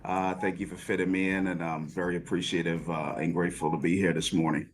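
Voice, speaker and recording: A man's voice: sits low, flat delivery, fast. A man from Guyana, a judge, answering interview questions in a formal, courteous way. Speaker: guest, judge.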